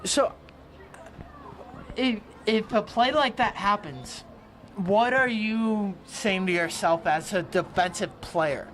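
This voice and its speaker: A woman doing loud, joking play-by-play commentary for a livestream from the press box of an outdoor stadium. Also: mid-range voice, takes it slowly, a little hiss or hum. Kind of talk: live sports commentary.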